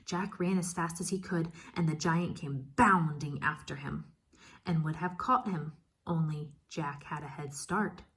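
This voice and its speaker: A woman teacher reading a children's story aloud expressively, pausing to ask questions and explain. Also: deep voice, a bit bright, husky. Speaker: first grade teacher.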